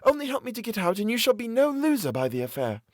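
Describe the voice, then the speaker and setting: A terrified young woman held captive begs for her freedom in a high, pleading voice, as a male narrator puts her on. Her speech rises and falls with animated swings, and the recording is dry and close. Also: quick pace, no echo. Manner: high-pitched, desperate, pleading. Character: frightened young woman imprisoned in an asylum, pleading.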